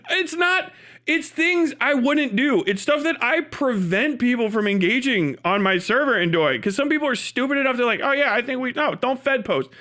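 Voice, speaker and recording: A man with a high voice that swings widely in pitch, delivering off-the-cuff livestream commentary. He sounds exasperated and incredulous, talking bluntly and acting out the arguments he objects to. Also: sharp tone. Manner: exasperated, incredulous, often laughing mid-sentence; sweary, blunt. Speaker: live streamer. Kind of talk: unscripted commentary rant.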